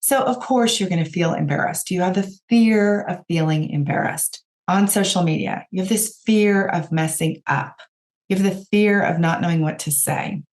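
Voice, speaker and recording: A woman with a raspy voice, hoarse from a cold, hosting a podcast and giving direct, encouraging coaching talk to her listeners. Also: on the low side, moderate pace, a little mellow. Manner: encouraging, direct, addresses listeners as you guys. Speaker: podcast host and business coach.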